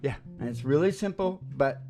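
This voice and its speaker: A man's voice: medium pitch, animated, brisk, warm tone. An older man chatting casually as a musician hosting a show, rambling and joking in a folksy way and laughing often. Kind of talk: casual chat and storytelling between songs. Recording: live acoustic guitar playing alongside. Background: acoustic guitar.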